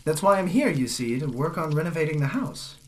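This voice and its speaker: A woman's voice: sits low, lively intonation, fairly quick, slight background noise. A woman playing a polite, flustered young man visiting a village in a comic audio drama. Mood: curious, hesitant. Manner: polite, hesitant, increasingly exasperated.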